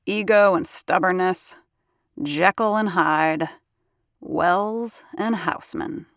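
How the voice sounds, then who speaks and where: A worldly, wry theater staffer, voiced by a woman in an audio drama. Her voice sits lower than most women's and is delivered with cool sarcasm. The sound is close and dry, with no room behind it. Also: takes it slowly, fairly bright tone, dry recording. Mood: wryly amused.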